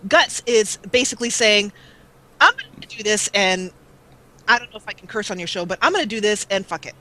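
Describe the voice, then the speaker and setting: A woman's voice: mid-range voice, expressive, fairly quick, pushed and bright, poor audio. A woman in her forties, a guest let's player chatting casually in an interview, rambling with many fillers on an old taped-up mic. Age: 40s. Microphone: old duct-taped mic that cuts out.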